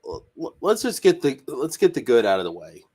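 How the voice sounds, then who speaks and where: A man hosting a casual anime review, congested from a cold, animated and saying "bro" a lot. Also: medium pitch, a little fast, slight background noise. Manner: animated, stretches words for emphasis, says "bro". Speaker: YouTube host.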